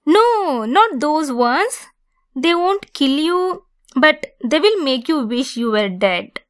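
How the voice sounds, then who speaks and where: Female centaur for ASMR roleplay, played by a woman: possessive yet caring, lightly teasing, higher-pitched, very unhurried delivery. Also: very animated. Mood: calm, instructive. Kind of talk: ASMR roleplay, scripted monologue.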